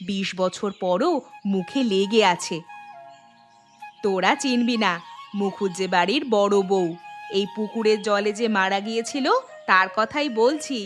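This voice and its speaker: A woman's voice: mid-range voice, a bit bright, lightly acted. A woman voicing a middle-aged village auntie who reminisces knowingly in a fairy tale cartoon. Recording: music under the voice.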